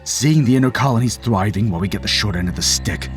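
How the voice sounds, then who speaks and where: A man's voice: on the low side, animated, fast talker, faint music behind, natural voice. A man playing a stern, bitter middle-aged father in an argumentative, commanding way for an audio drama. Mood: stern, pleading. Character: stern, bitter father, anti-military colonial speaker.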